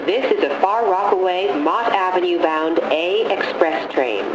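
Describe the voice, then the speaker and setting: A woman reading scripted automated subway announcements in a formal, measured, phrase-by-phrase manner. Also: lowish voice, dull audio, background noise.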